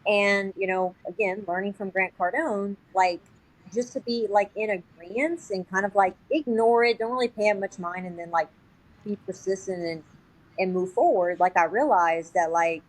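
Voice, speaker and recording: Woman on a podcast, casual, says like a lot, normal pitch, explaining with little quoted bits. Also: moderate pace, rough recording. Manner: informal, heavy use of "like", acts out short quotes. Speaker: sales agent, podcast guest.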